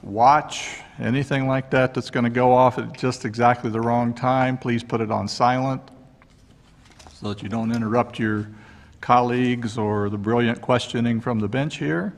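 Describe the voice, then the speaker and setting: A man's voice: medium pitch, steady tone, moderate pace, creaky. A man presiding over an appeals court session, easygoing and joking as he sets out the rules. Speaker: presiding judge. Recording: long courtroom reverb.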